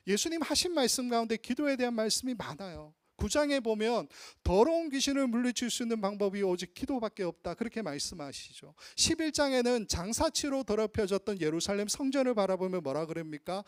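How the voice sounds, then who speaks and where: A male pastor delivers a teaching sermon with a fairly high, animated voice that rises and falls a lot. He explains patiently and stretches out unfamiliar words. The sound is close and dry, with little room echo. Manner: teacherly, draws out Greek and Aramaic word forms slowly. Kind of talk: expository sermon. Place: church sanctuary.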